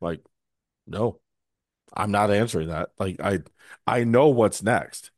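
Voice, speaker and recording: A man co-hosting a podcast in casual chat, his voice hoarse and scratchy, talking in a wry, grumbling way. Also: fairly low pitch, slow, no echo, studio quality. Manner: wry, grumbling, mimics others in quotes. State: hoarse, recovering from lost voice. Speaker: podcast co-host.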